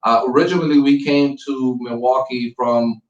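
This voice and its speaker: A man in his forties from Puerto Rico whose first language is Spanish talks through his background in a slow, earnest way, full of ums and restarts. His voice sits at a middle pitch. It sounds roomy and distant, with dull audio. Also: steady tone, a little hiss or hum. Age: 40s. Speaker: school principal. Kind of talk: conversational interview.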